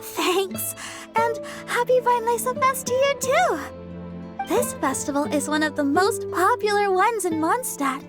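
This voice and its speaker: A woman's voice: very high voice, animated, a little slow. A woman voicing a sweet, friendly, cheerful young woman in a video game. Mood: delighted. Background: music.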